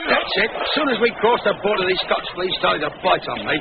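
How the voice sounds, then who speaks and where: High, cheeky carnival performer. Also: male voice, lively intonation, fast talker, creaky. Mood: chummy. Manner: chummy, blunt. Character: cheeky working-class male carnival contortionist. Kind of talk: 1940s radio acting. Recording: tinny, noisy old radio recording.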